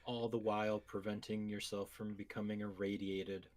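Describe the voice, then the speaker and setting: A man's voice: fairly low pitch, little pitch movement, moderate pace, a little mellow. A man co-hosting a podcast, trading casual banter about video games with wry, teasing asides. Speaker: podcast co-host.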